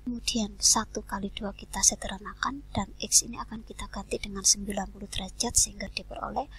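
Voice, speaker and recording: A woman, a math teacher talking softly through each step of a lesson. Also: mid-range voice, slightly rough.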